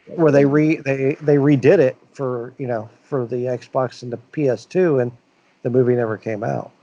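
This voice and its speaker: A man's voice: mid-range voice, fairly even intonation, normal speed, mellow. A man co-hosting a casual podcast, chatting and telling long, loose stories. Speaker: podcast co-host. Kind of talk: casual chat. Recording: dull lo-fi mic.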